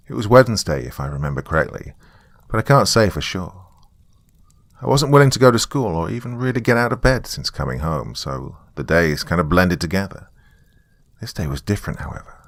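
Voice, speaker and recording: A man's voice: deep voice, fairly bright tone, no room sound. A man reading a scripted first-person story as a dramatic narrator.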